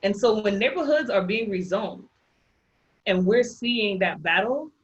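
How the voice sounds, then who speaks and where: A woman's voice: mid-range voice, animated, unhurried. Haitian-accented woman giving a housing rights workshop, hammers her points home, muffled call audio. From Haitian background. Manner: emphatic, repeats key points. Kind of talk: presenting slides in a workshop.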